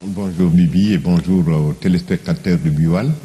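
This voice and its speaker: Deep, dark-voiced male journalist. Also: normal speed. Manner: calm, thoughtful, with fillers. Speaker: journalist, studio guest. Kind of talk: interview answers.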